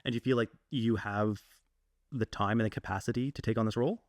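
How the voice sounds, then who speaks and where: Male interviewer, easygoing, lowish voice, dry close mic. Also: quick pace, no echo. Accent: Canadian English. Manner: easygoing, relaxed questioning. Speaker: interviewer, channel host. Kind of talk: interview questions, casual.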